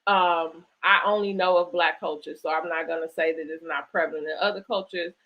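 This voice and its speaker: A woman hosting a conversational interview, speaking reflectively in halting phrases and often checking in with "right?" or "you know". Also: sits low, steady tone, highs cut off. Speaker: host interviewing an author. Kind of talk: conversational interview at a virtual book event.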